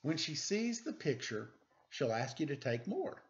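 A man's voice: fairly high pitch, measured pace. Middle-aged man, dull noisy webcam sound. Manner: playful, animated, self-deprecating. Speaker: storytime reader. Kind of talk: children's read-aloud with casual, joking asides. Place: indoors, room at home. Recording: dull webcam audio, noisy background, clicks.

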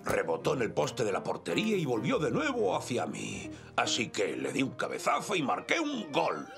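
Cartoon grandpa: elderly Castilian Spanish soccer coach, encouraging, very animated delivery, gravelly edge. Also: male voice, mid-range voice, normal speed, music in the background. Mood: proud storytelling. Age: older. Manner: encouraging, storytelling. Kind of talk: animated cartoon dub acting.

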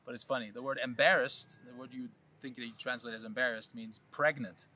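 Thirties male co-host, French native, bright tone, easygoing and encouraging. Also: medium pitch, steady tone, brisk. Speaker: co-host, real estate agent. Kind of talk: casual chat. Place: outdoors on a hillside terrace.

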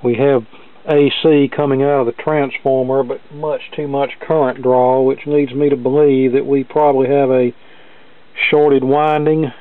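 Even-toned man, narrow phone-like sound. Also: medium pitch, measured pace, no room sound. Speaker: hobbyist radio repair demonstrator. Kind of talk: hands-on repair walkthrough, talking through steps. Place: indoor cluttered electronics workbench.

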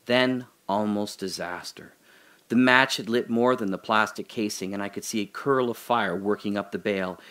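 A man's voice: fairly low pitch, normal speed, fairly bright tone, close to an everyday voice. An actor playing a man alone in a holding cell who talks through his doubts about his memories in an audio drama monologue, musing and questioning, turning from wry to earnest. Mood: recalling.

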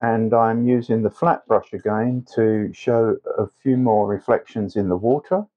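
A man narrating a painting tutorial step by step as a tutor, unhurried and with hesitant pauses. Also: fairly low pitch, fairly even intonation, lo-fi sound. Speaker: watercolour tutor.

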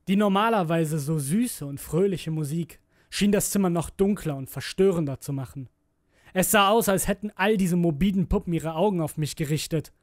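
A man's voice: on the high side, a little mellow, no room sound, studio quality. A man narrating a scripted horror story in a brooding, theatrical manner. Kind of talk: dramatic scripted narration of a creepypasta.

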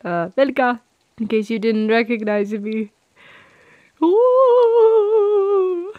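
A woman's voice: sits high, extremely slow, dry recording, narrow, tinny audio. A young woman playing a shy, teasing friend with a secret crush in an intimate ASMR roleplay, playful and a little hesitant.

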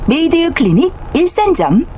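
A woman's voice: very high-pitched, big pitch swings, normal speed, a little mellow, slight background noise. A woman reading scripted public announcements on a bus. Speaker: bus safety and advertising announcer.

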